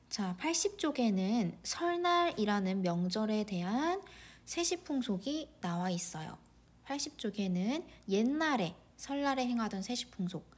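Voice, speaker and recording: A woman teacher leading a classroom lesson for young pupils, guiding them through the textbook. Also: on the high side. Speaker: elementary school teacher.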